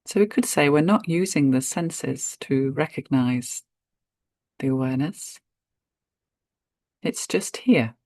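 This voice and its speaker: Female meditation guide, very slow gentle delivery with spacious pauses, dry recording. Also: very deep voice, lively intonation, no echo. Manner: unhurried, gentle, spacious pauses between short phrases.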